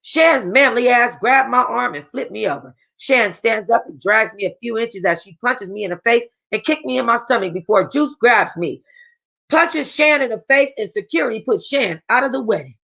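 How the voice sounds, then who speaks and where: A woman reading a first-person novel aloud for a book club, casual and expressive, with a streetwise tone. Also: on the high side, moderate pace, gravelly.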